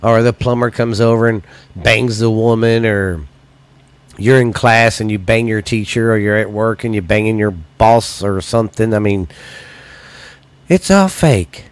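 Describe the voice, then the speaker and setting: A man's voice: fairly low pitch, level tone, normal speed, smooth, no echo. Stuffy-voiced American man, blunt casual podcaster. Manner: loose, blunt, crude joking delivery. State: slightly weak, stuffy voice from recent illness. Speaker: solo podcast host. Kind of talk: casual, profane comedy chat.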